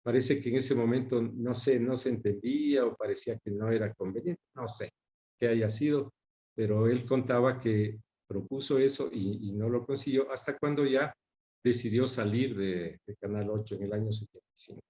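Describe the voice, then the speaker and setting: A man in his sixties with an Ecuadorian accent from Quito, speaking in a soft, mellow tone at an average pitch. He answers in a reflective, conversational interview style, measured, often pausing and repeating a word as he looks for the next one. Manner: measured, pausing and repeating words while searching for them. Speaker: interview guest recalling his father's career.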